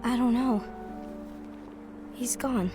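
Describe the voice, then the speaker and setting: A woman's voice: a little high, slow pace. An actress playing a protective, headstrong young female warrior in a game cutscene, earnest and emotional. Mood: troubled, subdued. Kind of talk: English game cutscene voice acting. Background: music.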